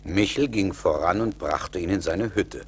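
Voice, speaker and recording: Very deep, slow male storyteller. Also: breathy edge. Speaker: narrator. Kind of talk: scripted fairy-tale narration.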